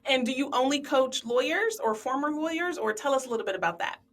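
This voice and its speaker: Podcast host: woman, upbeat and affirming, mid-high voice, recorded slightly distant from the mic. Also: lively intonation, fast talker, a bit bright. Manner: enthusiastic, affirming interviewer. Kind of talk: casual interview chat.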